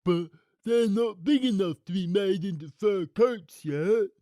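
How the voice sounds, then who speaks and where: A woman voicing a dim, reluctant, lazy male henchman in a storybook, with a dopey, drawn-out delivery. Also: mid-range voice, dark tone, no room sound, clean studio sound, pitched above the performer's own voice, natural voice. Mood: doubtful.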